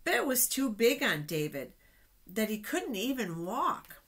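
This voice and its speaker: A middle-aged woman reads to children in a small office. Her pace is unhurried and her pitch moves in lively swings as she explains each part. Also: husky. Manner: expressive, explanatory. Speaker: children's storytime reader. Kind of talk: reading Bible stories aloud to children. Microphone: webcam at desk distance.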